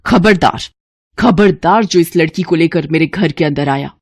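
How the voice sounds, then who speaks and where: A woman voicing a scornful, scheming middle-aged mother-in-law in a cartoon, with a sharp, haughty, scolding way of talking. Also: deep voice, normal speed, no room sound, studio quality. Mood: furious.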